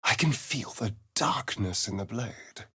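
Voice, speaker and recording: Casting: a suave, sardonic male vampire rogue for a fantasy game. Arch and dramatic, very lively pitch, dry close sound. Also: unhurried, slightly rough, no room sound, full character voice. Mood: measured, brooding. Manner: theatrical, arch, dramatic delivery. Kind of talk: fantasy video game voice acting.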